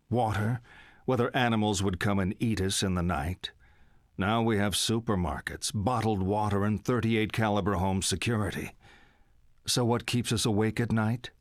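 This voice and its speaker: A man playing an older, morbid psychiatrist in a video game, deliberate, needling and theatrical. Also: fairly low pitch, dry recording, clean studio sound, natural voice.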